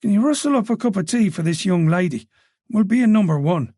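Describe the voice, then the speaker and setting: A weary but steady detective, as a male narrator plays him, calm and reassuring in tone. The voice is gravelly and fairly high, recorded close and dry. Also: brisk, no echo.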